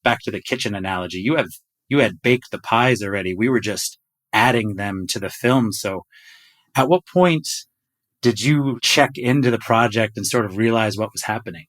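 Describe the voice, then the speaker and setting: A man's voice: fairly low pitch, normal speed, fairly bright tone. A man talking casually as the host of a podcast discussion.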